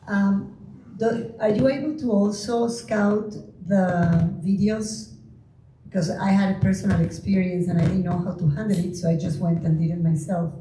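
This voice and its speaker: Audience member, Venezuelan-accented woman, warm mellow tone, echoey room. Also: medium pitch, steady tone, normal speed, slight background noise. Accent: Venezuelan Spanish. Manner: earnest, telling a personal story. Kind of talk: audience question. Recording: echoey hall.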